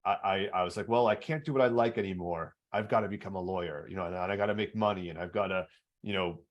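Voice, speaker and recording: A man with a baritone voice, hosting a podcast and telling his own story earnestly, stumbling over repeated words. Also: fast, dull audio. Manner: earnest, repetitive restarts. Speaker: podcast host. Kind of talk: personal storytelling in interview.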